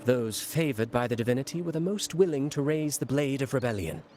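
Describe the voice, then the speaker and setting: Urbane, enigmatic male playwright for a game, voiced by an actor: mid-pitched, theatrical, teasing, over background noise. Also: expressive, a little fast, a little mellow, lightly acted. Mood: ominous, dramatic. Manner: theatrical, eloquent, playfully ominous. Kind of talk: scripted video game dialogue.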